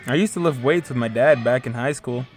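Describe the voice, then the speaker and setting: A man's voice: mid-range voice, brisk, soft background music, lightly acted. A man playing a big, goofy, boastful young friend in an audio drama. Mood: griping. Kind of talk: audio fiction dialogue.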